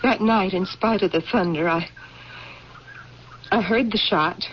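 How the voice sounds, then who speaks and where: An actress playing a grieving, proud and resolute general's widow in an old-time radio drama, speaking formally and with theatrical feeling. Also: female voice, mid-range voice, measured pace, close to an everyday voice. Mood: confessional, anguished. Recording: muffled, hissy old radio recording.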